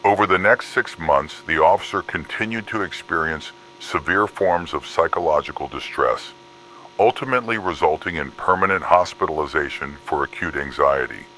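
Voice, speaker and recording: A man's voice: rumbling low, moderate pace, pushed and bright, a little hiss or hum, rough recording. A man reading scripted horror case files in a formal, clinical manner. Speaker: narrator of fictional case files. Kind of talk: scripted analog horror document narration.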